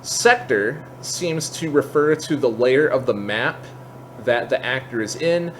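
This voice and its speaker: A man with a mid-pitched voice walks through a software tutorial in an informal, explanatory way. He drops in conversational asides as he goes. There is noticeable ambient noise behind him. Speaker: tutorial host.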